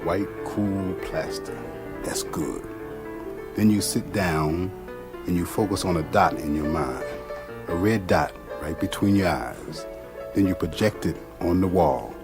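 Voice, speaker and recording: Eccentric dreamer, deep pitch, folksy phrasing, laid-back, radio drama, music behind. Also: male voice, unhurried. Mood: dreamy, instructive.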